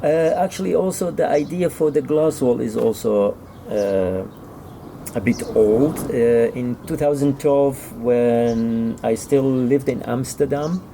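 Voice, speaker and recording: Man, middle-aged, Kurdish accent, creaky mellow voice, halting conversational interview answers. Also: mid-range voice, a little hiss or hum. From Iraqi Kurdistan. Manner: halting, searching for words. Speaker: author and publisher, interview guest.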